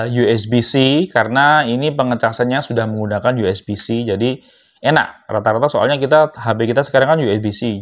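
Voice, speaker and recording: A man speaks in a dull, lo-fi recording, as in a homemade review video. He talks informally to his viewers, explaining things step by step in a casual unboxing style. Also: lowish voice. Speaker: product reviewer, vlogger. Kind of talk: casual unboxing and product demonstration.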